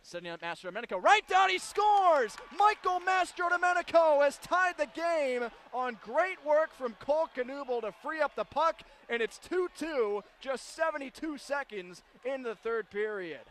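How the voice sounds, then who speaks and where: A man calling hockey on radio in a high, bright, forceful voice. He rattles off quick, clipped calls of every pass and shot and bursts into shouted goal calls, with faint noise in the background. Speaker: play-by-play announcer.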